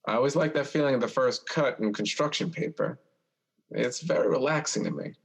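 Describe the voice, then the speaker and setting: An actor playing a teasing, insistent young older brother in an audio drama, drawling and stammering at times. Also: male voice, medium pitch, expressive, brisk, vocal fry. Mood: eager, pressing. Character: teasing older brother, a restless young man who has died. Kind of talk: stage-style audio drama dialogue.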